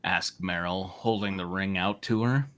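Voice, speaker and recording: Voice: low-pitched, normal speed, fairly bright tone. A person reading a story aloud to friends in a mock-dramatic way, breaking off into amused asides. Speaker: narrator reading fan fiction aloud. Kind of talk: comedic group read-aloud, often breaking into laughter.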